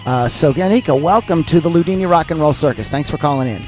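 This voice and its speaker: A man's voice: a little high, fast talker, darkish tone, faint music behind. Enthusiastic rambling podcast host man. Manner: enthusiastic, rambling, many fillers. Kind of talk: podcast interview hosting.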